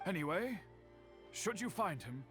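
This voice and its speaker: Game role: earnest middle-aged uncle, formal and measured, slightly high voice, music underneath. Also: male voice, big pitch swings, a bit bright, full character voice. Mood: earnest, protective. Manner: formal, measured, deliberate phrasing. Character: earnest, courteous samurai-era man, worried uncle and blade admirer. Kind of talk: English video game voice acting.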